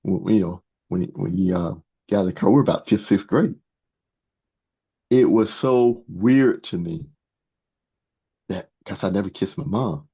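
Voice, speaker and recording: A dull, lo-fi recording of an older man with a low, mellow voice. He talks conversationally as an interview guest, stopping and restarting and often doubling up his words. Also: breathy edge. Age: 60s or older. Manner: halting, repeats words. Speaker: guest author. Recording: dull, muffled lo-fi sound.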